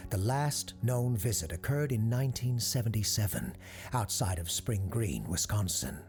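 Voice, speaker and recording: A man reading scripted, eerie documentary-style narration in a measured, deliberate way with dramatic pauses. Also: lowish voice, expressive, a little mellow, faint music behind. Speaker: host and narrator.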